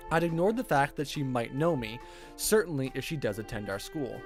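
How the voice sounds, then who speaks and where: A man's voice: medium pitch, lively intonation, a little fast. Male game streamer reading aloud, music behind. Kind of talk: reading visual novel narration aloud with casual commentary.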